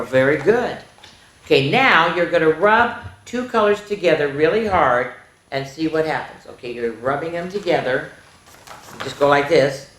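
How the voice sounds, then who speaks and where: A woman hosting a children's story time, reading a picture book aloud in an animated, sing-song, question-asking way. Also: sits low. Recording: roomy, echoey indoor sound.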